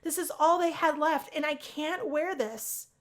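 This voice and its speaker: A woman's voice: high-pitched, measured pace, voice pushed up. Woman as a shy, bookish college girl. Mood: distressed. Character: Anne, shy, bookish young female college student. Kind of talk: visual novel character voice.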